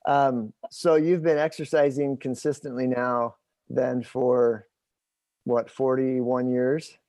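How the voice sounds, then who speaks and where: A man in his forties in a conversational interview, explaining things in a halting, thinking-aloud way. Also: mid-range voice, flat delivery, warm tone, no echo. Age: 40s. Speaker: host interviewing his father.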